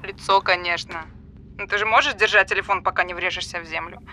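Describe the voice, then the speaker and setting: A woman's voice: fairly low pitch, fairly even intonation, quick pace, bright, forceful tone, slight background noise. A woman acting in an audio drama as a callous, flippant, fame-hungry character on a phone call, mocking and breezy.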